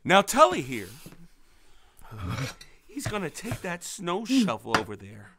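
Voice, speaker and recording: A man playing a bitter, grieving father bent on revenge, slowly taunting and swearing in an audio drama. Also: sits high, huge pitch swings, noisy background, heavily acted. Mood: taunting, cruel. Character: vengeful grieving father, menacing, bitter, volatile.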